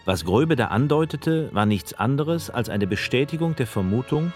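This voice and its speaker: Calm male documentary narrator. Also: mid-range voice, normal speed, over music. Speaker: narrator and interviewer. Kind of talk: documentary narration and interview questions.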